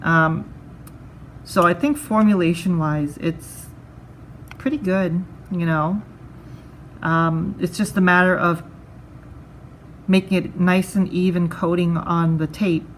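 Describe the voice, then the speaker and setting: A woman's voice: lowish voice, a little hiss or hum. A woman giving a casual hands-on product review, thinking aloud in a conversational way.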